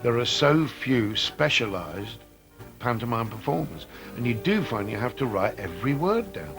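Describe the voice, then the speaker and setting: A man talking in an interview, phrasing his views deliberately and with emphasis. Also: medium pitch, very animated, gravelly. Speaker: interviewee, pantomime writer. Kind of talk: interview talk. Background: music.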